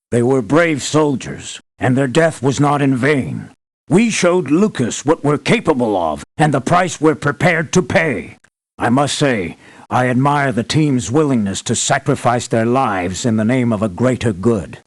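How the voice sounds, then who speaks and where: Demanding, animated male mercenary boss. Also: medium pitch. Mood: grave. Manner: emphatic, articulate, businesslike. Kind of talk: video game character voice lines.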